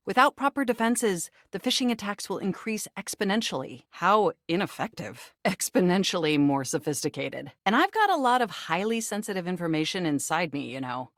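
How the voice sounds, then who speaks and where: A woman's voice: fairly low pitch, dry recording, clean studio sound. A woman voicing a personal computer in a video game, polite, literal and affectionate, with formal, precise, wordy phrasing. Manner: formal, precise, verbose wording. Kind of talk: video game character voice acting.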